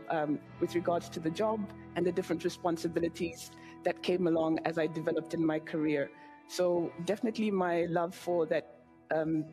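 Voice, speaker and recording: Zambian-born woman on a panel, forties, calm and thoughtful, headset mic, some music under it. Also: fairly low pitch. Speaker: panel guest. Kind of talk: panel discussion. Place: studio stage with audience.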